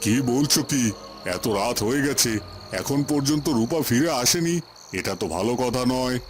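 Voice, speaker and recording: A man's voice: medium pitch, animated, moderate pace, close to an everyday voice. A man playing a stern, righteous village headman and family patriarch in a cartoon, speaking in a scolding, authoritative way. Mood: concerned, admonishing. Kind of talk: dramatic cartoon voice acting. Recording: music behind the voice.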